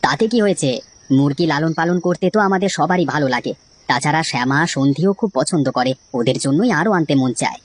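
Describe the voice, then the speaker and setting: Woman voicing a low-pitched, easygoing cartoon dad. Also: quick pace, slight background noise, lightly acted. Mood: coaxing. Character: easygoing, placating village father. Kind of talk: children's cartoon dialogue. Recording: music behind the voice.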